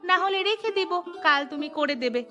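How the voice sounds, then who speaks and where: High, bright caring village wife played by a woman. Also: expressive, moderate pace, faint music behind. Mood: fussing, affectionate. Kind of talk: Bengali cartoon story acting.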